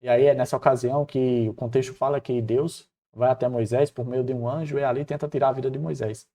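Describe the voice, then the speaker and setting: Male devotional teacher, Northeastern Brazilian accent, mid-pitched warm relaxed voice, expressive storyteller. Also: fairly quick. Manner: expressive, acts out dialogue colloquially, rhetorical questions. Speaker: Bible lesson presenter.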